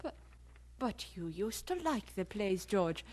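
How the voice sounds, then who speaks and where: A woman's voice: mid-range voice, takes it slowly. An actress playing a worried middle-aged mother, puzzled and fretful, in an audio drama. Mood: dismayed. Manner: concerned, expressive.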